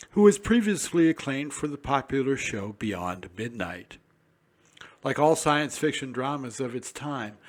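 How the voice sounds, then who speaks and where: Male host, mid-pitched, animated intonation, polished scripted narration, theatrical. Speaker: radio host and guide.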